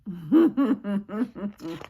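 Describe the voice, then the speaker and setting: Animated older woman reading, slightly husky. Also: medium pitch, very slow, phone-quality sound. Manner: expressive, dramatic emphasis with asides and questions to young listeners. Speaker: grandmother reading a picture book aloud. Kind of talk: children's storybook read-aloud.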